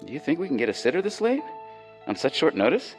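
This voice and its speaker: A man's voice: fairly high pitch, wildly swooping pitch, fast talker, soft background music. A man playing a blunt young doctor and husband in a radio drama. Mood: doubtful.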